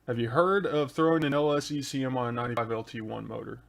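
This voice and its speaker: A man's voice: medium pitch, steady tone, fast talker, vocal fry. A man hosting a live stream, chatting casually and answering viewers' questions one by one into a desk microphone. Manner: answers viewer questions on engine tuning, laid-back and chatty. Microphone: desk microphone, adjusted mid-show.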